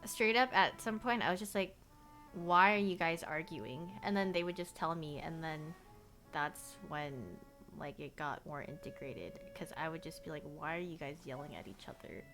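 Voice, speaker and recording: A woman's voice: low-pitched, moderate pace, a bit bright, soft background music. A young woman whose first language is Korean, livestreaming casual chat with viewers. She rambles, fills her talk with "like," and laughs often.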